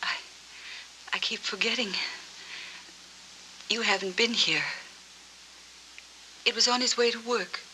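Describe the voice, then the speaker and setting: An actress playing a lonely, wistful woman with a hazy memory in a 1940s film noir, speaking in halting, dreamy, hushed phrases. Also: fairly high pitch, fairly even intonation, a little hiss or hum, rough recording. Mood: wistful, distant. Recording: old 1940s film soundtrack, music behind.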